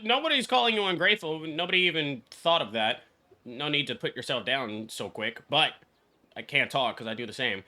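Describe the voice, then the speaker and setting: A man streaming a video game with casual, blunt, excitable, foul-mouthed reaction commentary. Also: on the high side, fairly quick. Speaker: gaming streamer.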